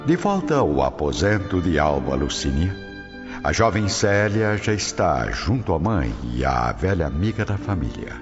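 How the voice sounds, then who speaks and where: A low-pitched man narrates a radio soap with an animated, dramatic swing. The sound is dull and lo-fi, with music clearly playing underneath. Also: measured pace. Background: clear music bed.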